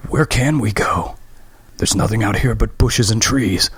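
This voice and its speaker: A man voicing a panicky, injured city man who whines and cries out hoarsely. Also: fairly low pitch, unhurried, fairly bright tone, no room sound, exaggerated voice. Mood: fearful.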